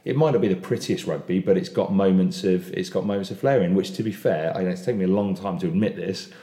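A man's voice: deep voice, fast, warm tone, roomy sound. A man hosting a casual rugby chat, enthusiastic and opinionated, often restarting his words. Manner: enthusiastic, opinionated, repeats words when starting. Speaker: podcast host.

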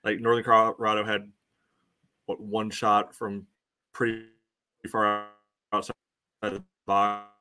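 A man co-hosting a sports podcast, giving casual analysis in measured, halting phrases. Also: fairly low pitch, monotone, pushed and bright, clear, resonant tone.

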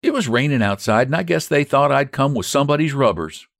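A man's voice: mid-range voice, expressive, fairly quick, gravelly, fairly bright tone, no echo, studio quality, pitched above the performer's own voice. A man voicing a shy, humble young housemaid who talks in homely, ungrammatical speech. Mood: wistful. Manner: homely rural grammar, earnest and simple.

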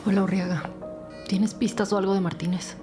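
A woman's voice: mid-range voice, fairly even intonation, brisk, lightly acted. Actress as a stubborn wounded policewoman, music behind. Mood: determined, weary. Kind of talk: audio fiction podcast acting.

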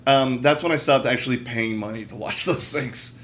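A man with a medium-pitched voice co-hosts a casual podcast. He talks in a blunt, emphatic way, joking and swearing as he gives opinions. A faint noise sits behind his voice. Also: normal speed, fairly bright tone. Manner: blunt, emphatic, profane, jokey. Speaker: podcast co-host.

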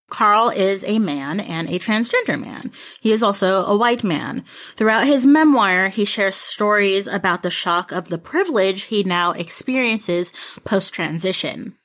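Woman co-host, mid-pitched voice, steady informative book reviews. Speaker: podcast host. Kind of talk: book review, read-through summary.

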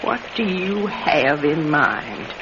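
High-voiced, animated old circus man, lo-fi. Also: slow pace. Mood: nervous. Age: older. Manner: wistful, folksy, rambling storytelling. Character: elderly retired circus performer, nostalgic, wary, talkative old man. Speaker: radio drama actor. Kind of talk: classic radio drama acting. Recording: dull, noisy vintage radio recording.

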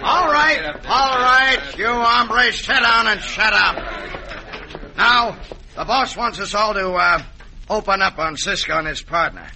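An actor playing a blunt, wary Old West man in a radio western, with a gruff American western drawl. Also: sits high, measured pace, sharp tone, a little hiss or hum, exaggerated voice, rough recording. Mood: bossy, menacing. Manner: folksy, blunt frontier drawl.

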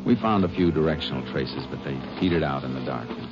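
An actor playing a calm, shrewd Texas Ranger in a 1950s radio drama, speaking in a terse, deliberate way. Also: male voice, extremely low pitch, music in the background. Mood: measured narration. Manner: terse, deliberate, matter-of-fact. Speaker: lead actor and narrator. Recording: noisy, muffled 1950s radio recording.

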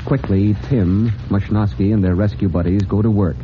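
A man's voice: lowish voice, fairly even intonation, normal speed. A man narrating an old-time radio serial as its announcer, with dramatic, earnest scripted delivery. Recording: dull, noisy old radio recording.

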